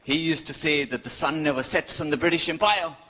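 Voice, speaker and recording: A man from India with an Indian accent performing a cheeky, wry stand-up routine, pausing for his punchlines. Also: on the high side, pushed and bright. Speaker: stand-up comedian. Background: audience laughter.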